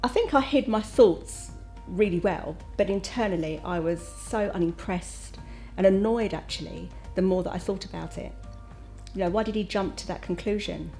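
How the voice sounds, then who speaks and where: A woman's voice: medium pitch, moderate pace, mellow, soft background music. A woman speaking candidly and reflectively in a corporate testimonial.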